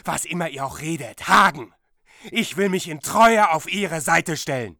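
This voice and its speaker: A man's voice: fairly high pitch, expressive, measured pace, creaky. A man playing a loyal, idealistic noble warrior, earnest and insistent, in a radio play. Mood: indignant, earnest. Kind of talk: German radio play acting.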